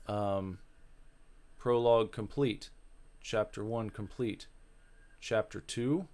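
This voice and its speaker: A man giving casual, deadpan, offhand commentary as he reads a visual novel aloud. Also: on the low side, takes it slowly. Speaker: host reading a game aloud.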